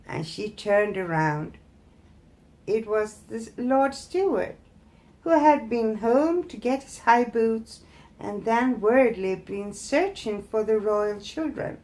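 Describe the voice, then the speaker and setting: Female fairytale narrator, slow, expressive, deliberate, mid-range pitch. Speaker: storyteller, host.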